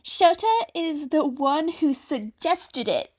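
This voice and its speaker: A woman voicing a chirpy, eager teenage hero student in an audio story, exclaiming excitably. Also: sits high, slow pace, pitched above the performer's own voice. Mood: excited, cheerful.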